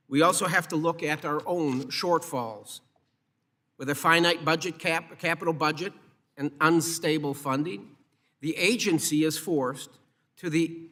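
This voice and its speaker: A man speaking as a committee chairman, reading a formal, measured opening statement at a government hearing. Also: a little high, pushed and bright. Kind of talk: formal prepared political statement. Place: congressional hearing room.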